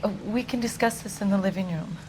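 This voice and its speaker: An actress playing an anxious, genteel housewife in a crime game, her voice slightly husky. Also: mid-range voice, fast talker, noisy background, close to an everyday voice. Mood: subdued, worried. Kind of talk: cinematic game acting.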